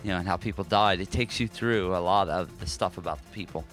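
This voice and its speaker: A man's voice: sits low, quick pace, a bit bright, soft background music. An American man co-hosting a podcast, talking casually in an informal, musing way. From United States. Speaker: podcast co-host. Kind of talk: casual conversational podcast talk.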